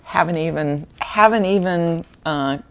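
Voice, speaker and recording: A woman's voice: lowish voice, measured pace, fairly bright tone, a little hiss or hum. A woman, hosting a book channel and chatting casually through an unboxing with fillers and small laughs. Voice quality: slightly gravelly.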